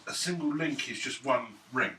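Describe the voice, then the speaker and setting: A man's voice: mid-range voice, animated, normal speed, bright, forceful tone. A man at a tabletop role-playing game, casually putting questions as a player. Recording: echoey room, distant mic, noisy.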